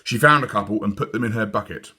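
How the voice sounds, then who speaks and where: A man with a British accent narrating a children's story in a deliberate, expressive storytelling manner. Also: fairly low pitch, husky. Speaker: story reader.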